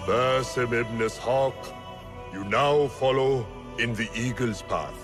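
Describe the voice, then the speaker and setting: A man's voice: mid-range voice, takes it slowly, music in the background, close to an everyday voice. A man playing a grave, authoritative leader of secret assassins in a video game, speaking with solemn command. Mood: ceremonial. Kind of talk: dramatic video game acting.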